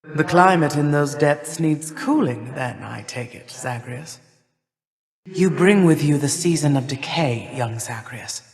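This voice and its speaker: A woman playing an imperious, cold older goddess of winter and harvest in a video game, with stately, formal, measured delivery. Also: low voice, animated, vocal fry, heavily acted. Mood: regal, austere. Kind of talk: theatrical video game character lines. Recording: long reverberant hall echo.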